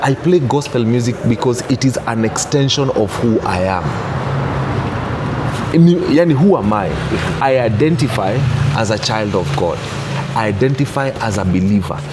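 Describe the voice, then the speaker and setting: A man's voice: medium pitch, moderate pace. Kenyan gospel DJ, 30s, male, mid voice, insistent and repetitive, noisy ambience behind. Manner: emphatic, repeats "unanishika?" to check understanding. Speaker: interview guest, gospel DJ. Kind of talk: casual interview, mixing English and Swahili.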